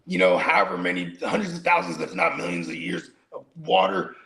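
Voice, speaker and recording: A man's voice: a little high, sing-song, a little fast, gravelly, sharp tone. A man in his forties co-hosting a podcast, rambling through casual, profane banter and laughing often. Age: 40s. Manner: rambling, laughs often, says "you know what I mean". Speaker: podcast co-host.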